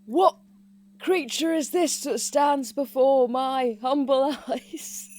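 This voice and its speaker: A woman's voice: high voice, slow pace, dark tone, faint music behind. A woman playing an awestruck, humble, melodramatic onlooker in a theatrical style for audio comedy. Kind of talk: improvised audio comedy roleplay.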